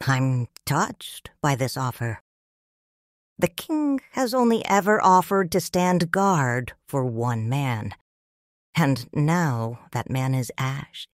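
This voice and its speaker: Slow low-voiced woman narrator, tender. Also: animated, dry recording, studio quality. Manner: slow, soft, tender and reflective. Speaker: first-person narrator, a grieving widow and mother. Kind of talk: scripted audiobook narration.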